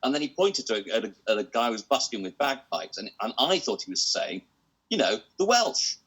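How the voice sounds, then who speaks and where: Husky man stammering through stories. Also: mid-range voice, very animated, fairly quick, fairly bright tone, poor audio. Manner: stammering, repeats words when starting. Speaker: comedian guest. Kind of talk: anecdotal comedy chat. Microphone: video call.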